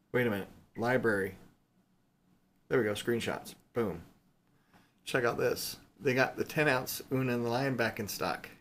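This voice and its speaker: A man chatting casually and unscripted as a video host showing off items, rambling with mildly playful exclamations. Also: mid-range voice. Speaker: video host showing silver bullion purchases. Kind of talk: casual unscripted show-and-tell chat.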